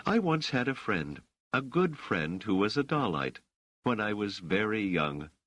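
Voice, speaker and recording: A man acts out a self-assured woman ruler of middle age in a novel reading. The pitch is lifted well above a usual male voice and moves expressively. The manner is gracious, poised and used to command. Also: big pitch swings, a bit bright, dry recording, exaggerated voice. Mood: wistful. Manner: poised, formal, measured phrasing.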